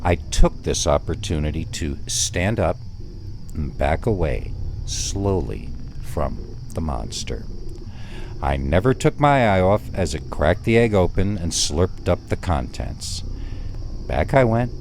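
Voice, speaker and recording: Deep-voiced man telling a scary story. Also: big pitch swings, slow, a little mellow, a little hiss or hum. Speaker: narrator of scary stories. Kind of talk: dramatic first-person horror storytelling.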